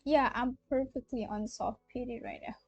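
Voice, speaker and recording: A woman's voice: a little high, fairly even intonation, normal speed, dry recording, muffled recording. A young woman from Malaysia chatting casually on a game livestream, hesitant and often repeating herself. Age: young adult. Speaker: game streamer. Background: soft game music.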